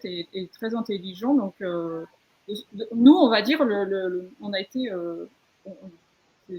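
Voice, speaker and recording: Woman panelist, slightly husky, searching for words. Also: medium pitch, a little mellow, rough recording. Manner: hesitant, repeats words while searching for phrasing. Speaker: comics author on a panel. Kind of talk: panel discussion, personal account.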